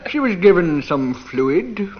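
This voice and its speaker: A man's voice: high-pitched, sing-song, slow pace. A man bantering as a comedy panellist on a radio game before a studio audience. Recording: dull, very noisy old radio recording. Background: loud studio audience.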